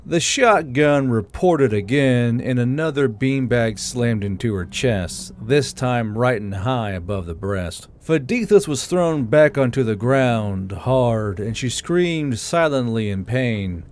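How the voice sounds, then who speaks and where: A man narrating an audiobook chapter in steady, measured storytelling. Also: medium pitch.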